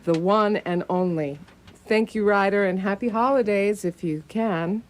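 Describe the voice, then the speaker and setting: A woman's voice: medium pitch, measured pace, a little hiss or hum, natural voice. A woman acting in a radio play as a witty, flirtatious lady doctor with formal, playful phrasing. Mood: dry, poised. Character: witty, flirtatious, poised female doctor detective's companion. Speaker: actor in a community radio play.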